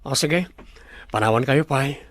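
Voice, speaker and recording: A man's voice: medium pitch, a little slow, fairly bright tone, no room sound, lightly acted. A man with an Ilocano accent playing a suave, courteous resort owner in a radio drama. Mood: courteous, charming.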